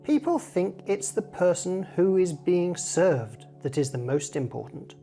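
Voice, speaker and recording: Female storyteller for children's tale. Slow, very expressive, soft music underneath. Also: fairly low pitch.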